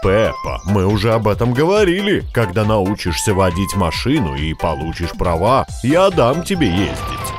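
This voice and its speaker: Low-voiced daddy pig done by a woman. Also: sing-song, moderate pace, hardly any pauses. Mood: calm, firm. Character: easygoing but firm grown-up father pig. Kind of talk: children's toy-play cartoon dubbing. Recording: loud children's music over the voice.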